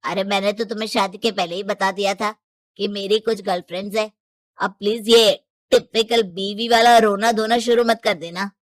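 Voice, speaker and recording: A woman's voice: medium pitch, steady tone, normal speed, dry recording, natural voice. A woman voicing a breezy, selfish rich young man, nonchalant and dismissive, in a cartoon story. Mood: careless.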